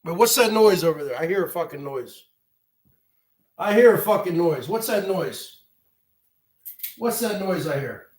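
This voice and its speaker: A man's voice: sits high, animated, a little slow, long pauses, breathy edge. An American man in his forties from New Jersey, ranting on a livestream in a rambling way and repeating his phrases insistently. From northern New Jersey, United States. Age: 40s. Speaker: livestream host.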